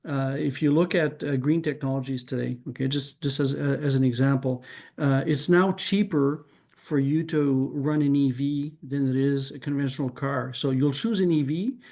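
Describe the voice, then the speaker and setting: Man, mellow low voice. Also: normal speed. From Canada. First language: French. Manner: thinking aloud, frequent restarts, ends points with "right?". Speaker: discussion participant. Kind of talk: casual group discussion.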